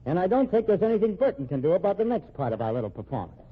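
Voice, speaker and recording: Husky, menacing gunman. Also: sits high, sing-song, racing, exaggerated voice. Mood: sardonic, in control. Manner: controlled, taunting, commanding. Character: cool, menacing, sardonic gunman on the run. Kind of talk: old-time radio crime drama acting. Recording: muffled old radio recording.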